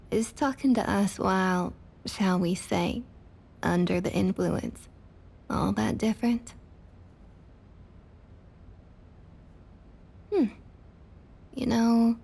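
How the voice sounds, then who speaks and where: A woman playing a slow, husky Southern vampire lady. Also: mid-range voice, darkish tone. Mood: amused, condescending. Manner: condescending, drawling pet names, slow and deliberate. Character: domineering, patronizing Southern vampire councilwoman, sweetly menacing. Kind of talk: ASMR roleplay monologue.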